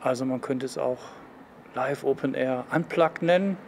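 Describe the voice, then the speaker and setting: Male interviewee, 50s, creaky voice, measured piecemeal delivery, faint outdoor noise. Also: mid-range voice, darkish tone. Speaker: concert organiser interviewee. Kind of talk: interview statement. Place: outdoor yard by street.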